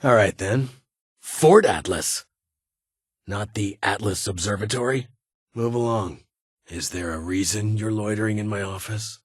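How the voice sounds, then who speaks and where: An actor playing a weary, formal middle-aged military security chief in a video game, speaking in a restrained, dutiful way. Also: male voice, lowish voice, unhurried, husky, dry recording, clean studio sound. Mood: stern. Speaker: vault chief of security, former army science advisor. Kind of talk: video game character dialogue.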